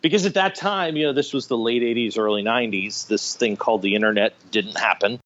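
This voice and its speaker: A man's voice: medium pitch, brisk, fairly bright tone. Joking American man, dull call audio. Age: 50s. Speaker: podcast guest. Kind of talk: casual interview storytelling. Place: remote online call.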